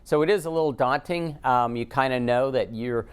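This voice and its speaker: A man with an American accent answering interview questions as an astronaut guest, halting often with ums as he thinks. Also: mid-range voice, clean tone.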